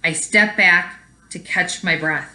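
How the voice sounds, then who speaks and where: A woman's voice: fairly low pitch, slow pace, pushed and bright. A woman teacher reading a children's story aloud expressively and explaining it to young pupils. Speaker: elementary school teacher.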